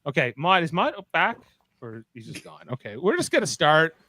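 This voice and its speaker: A man's voice: fairly high pitch, huge pitch swings, normal speed, bright, forceful tone, no room sound. A man hosting and narrating a live amateur radio play in an informal, playful way. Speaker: host and narrator.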